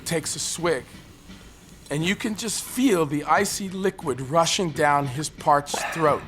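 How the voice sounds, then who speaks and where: An actor playing a brooding, desperate man on a ledge in an audio drama, telling his story in an intense, halting, obsessive way. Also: on the high side, noisy background. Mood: absorbed, intense. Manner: intense, halting, obsessive monologue with repeated phrases.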